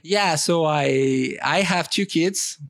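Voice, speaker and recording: An Italian-accented man in his thirties, his voice sitting slightly high for a man. He talks as a podcast guest, earnest and halting, full of fillers and restarts. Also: a bit bright. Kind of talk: conversational interview answers.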